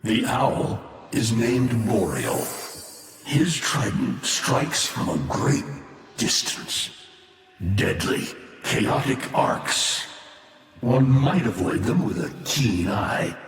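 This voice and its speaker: A man's voice: medium pitch, big pitch swings, drawn-out words, creaky, heavily acted. A male actor playing a bitter, ancient fallen warlord in a video game, mocking and grandiose, with theatrical, menacing delivery. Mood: grave, ominous. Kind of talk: dramatic video game voice acting. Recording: cavernous echoing reverb, faint ambient noise.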